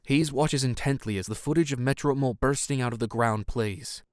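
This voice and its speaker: A man's voice: mid-range voice, brisk, dry recording, clean studio sound, natural voice. A man narrating an audio drama, reading scripted scene action in the present tense.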